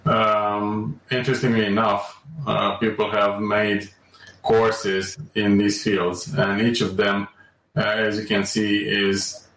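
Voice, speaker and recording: A man talks slowly and evenly as a podcast guest. His low, flat voice keeps hesitating with ums and uhs. He sits well back from the mic in a roomy, echoey space. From Romania. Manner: measured and slow, many ums and uhs. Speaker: guest, alternative medicine practitioner. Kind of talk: podcast interview. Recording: echoey room, distant mic.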